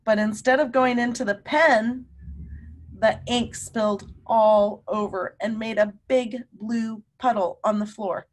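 A woman in her fifties reading a story aloud to young children, with animated, drawn-out emphasis and questions to her listeners. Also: on the high side. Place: room at home.

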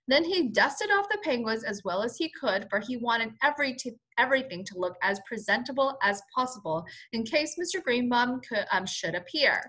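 Bright-voiced woman reading to children. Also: fairly high pitch. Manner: expressive, stops to explain words simply. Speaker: first-grade teacher. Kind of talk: animated storybook read-aloud for children.

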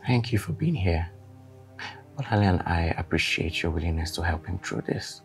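An actor playing a calm therapist who explains a treatment plan. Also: male voice, sits low, faint music behind. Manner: measured, explanatory.

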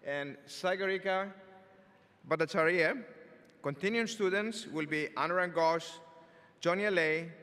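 An older man delivers a formal commencement address from a podium in a huge arena, his words trailing off into a long reverb. His voice is bright and sits a little high. He speaks in a measured, deliberate way, with an occasional filler word. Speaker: engineering school dean. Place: large arena stage at a podium. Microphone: podium microphone.

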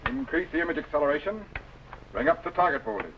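Commanding scientist captain, 1950s TV serial, animated delivery, noisy old film sound. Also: male voice, on the high side, quick pace. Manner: clipped, commanding. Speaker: time-travelling scientist captain.

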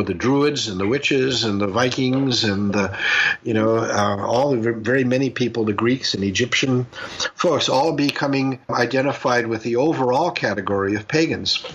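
A man's voice: mid-range voice, muffled recording. An older man from the United States answering interview questions in a reflective, rambling way, often restarting his sentences. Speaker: guest.

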